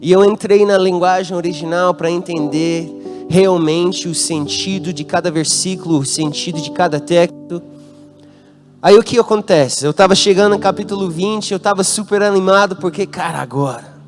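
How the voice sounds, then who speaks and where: An American man preaches with a voice that sits a touch high for a man. He asks the congregation to call out answers and speaks with an American accent. Soft instrumental music plays faintly underneath. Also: normal speed. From United States. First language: English. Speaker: guest pastor. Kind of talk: sermon. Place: church hall.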